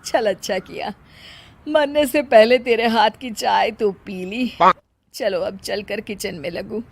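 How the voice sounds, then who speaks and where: A woman voicing an older, sharp-tongued mother-in-law who scolds and complains in a cartoon story. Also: medium pitch, takes it slowly, gravelly, dry recording. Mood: teasing.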